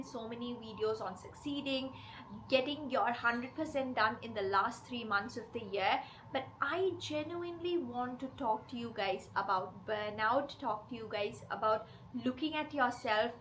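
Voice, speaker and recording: A woman with a cold that leaves her voice hoarse and rough, hosting her channel and talking earnestly and directly to her viewers. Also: fairly high pitch, normal speed, fairly bright tone, a little hiss or hum, poor audio. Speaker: channel host. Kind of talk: confessional vlog talk to camera.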